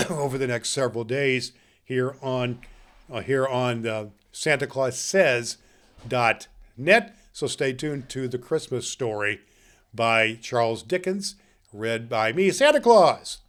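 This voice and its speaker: A man playing a jolly, grandfatherly old Santa Claus, hosting a podcast for children in a folksy, halting manner. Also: mid-range voice, natural voice. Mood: folksy, friendly. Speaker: podcast host. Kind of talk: story reading and chat to children.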